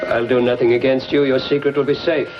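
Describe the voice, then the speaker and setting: An actor playing a defiant, bitter underworld doctor with a deliberate, dramatic delivery in a vintage television crime drama. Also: male voice, medium pitch, little pitch movement, natural voice. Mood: pleading. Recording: loud hum on dull vintage soundtrack.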